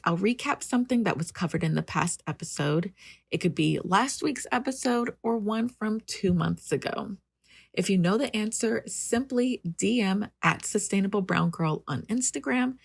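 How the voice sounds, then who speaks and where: A woman's voice: on the low side, creaky, slightly rough, no echo. A woman hosting a podcast, upbeat and enthusiastic, greeting her guest and asking friendly questions. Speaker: podcast host.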